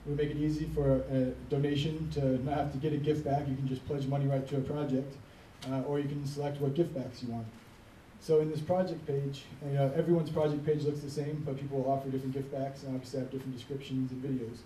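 A man's voice: mid-range voice, flat delivery, fast talker, dark tone, a little hiss or hum. A man on a conference panel walking the audience through a website demo. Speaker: panelist, platform founder. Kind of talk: presentation. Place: conference room. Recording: echoey room, distant mic.

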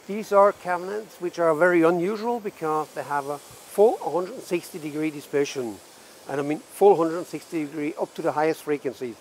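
Higher-pitched older man presenting products. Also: darkish tone. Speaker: head of research and development presenting products. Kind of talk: semi-scripted product presentation. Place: trade show booth in a large exhibition hall.

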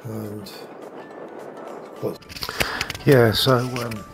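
A man's voice: medium pitch, steady tone, very slow, vocal fry. A man casually narrating a walking tour outdoors on a windy seaside path, rambling with many fillers into a camera mic. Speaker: vlogger walking tour guide. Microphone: handheld camera mic in wind. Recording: wind noise with background music.

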